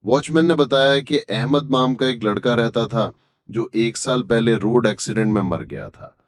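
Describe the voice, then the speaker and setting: A man's voice: mid-range voice, moderate pace, no room sound, studio quality. A man reading a scripted horror story as its narrator. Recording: background music. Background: music.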